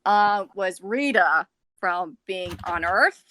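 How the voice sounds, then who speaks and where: A woman chatting casually on a group voice call, expressive and repeating phrases for emphasis. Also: medium pitch, slow pace. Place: voice chat call over the internet.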